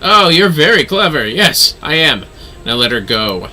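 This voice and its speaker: A man voicing a calm, ominous dark knight mentor from a video game, in a measured, dryly formal and theatrical way. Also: a little high, slight background noise, heavily acted. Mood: dry, defiant. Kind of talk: video game dialogue read aloud.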